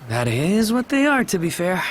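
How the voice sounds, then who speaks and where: A man's voice: sits high, very animated, moderate pace, slight background noise. A man playing an eager, agreeable young sailor in a video game.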